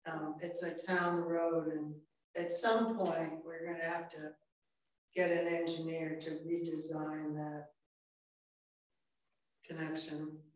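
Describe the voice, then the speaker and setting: A woman's voice: low voice, flat delivery, slow, long pauses. A woman with a Vermont accent on a town board, practical and asking pointed questions. Her voice comes from far off in an echoey meeting room, with a dull lo-fi sound. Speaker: board member. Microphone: far room camera.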